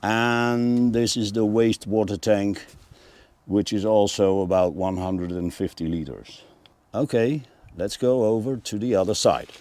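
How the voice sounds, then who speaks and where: A man's voice: fairly low pitch, a little slow, a little mellow, dry recording. A man presenting a narrated tour of a motorhome as a vlogger.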